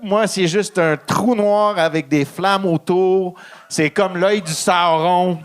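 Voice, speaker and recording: A young man whose first language is English, with a Quebec French accent, delivering a halting, deadpan stand-up set full of dark jokes and ums. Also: high-pitched, smooth. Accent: English-speaking Quebecer speaking French. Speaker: stand-up comedian.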